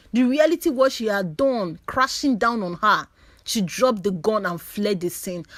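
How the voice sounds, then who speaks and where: A woman's voice: medium pitch, no echo. A woman reading a scripted dramatic story as a storyteller.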